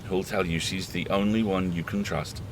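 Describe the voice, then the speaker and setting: A man acts as a weary horror host in an audio drama, confiding in the listeners. His voice is on the low side, and he tells it with halting, deliberate earnestness. There is clear ambient noise behind him. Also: steady tone, close to an everyday voice. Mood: somber, ominous. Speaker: horror podcast host playing himself.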